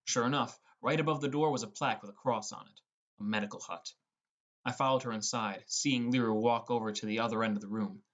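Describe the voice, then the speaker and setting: A young man narrates a fantasy adventure in the first person. His pitch sits in the middle for a male voice. The delivery is that of a scripted audiobook reading. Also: steady tone, brisk, a bit bright.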